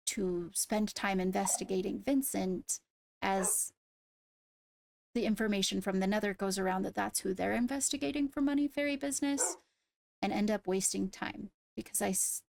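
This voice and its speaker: A woman playing a measured, diligent fantasy court investigator in a roleplay, with formal, deliberate, slightly hesitant phrasing and a creaky voice. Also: medium pitch, a little mellow. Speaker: roleplay player acting as an investigator. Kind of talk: improvised in-character roleplay dialogue.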